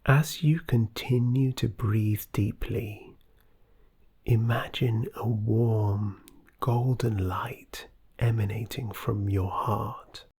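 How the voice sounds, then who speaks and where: Very slow, husky, breathy meditation guide. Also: mid-range voice, steady tone. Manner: soft, soothing, breathy, often near whisper. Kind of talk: scripted guided meditation narration.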